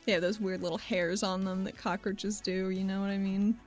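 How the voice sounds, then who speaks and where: A woman's voice: medium pitch, flat delivery, a little fast, a little mellow. Polite female tabletop player over faint music. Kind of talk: casual role-play and table chat.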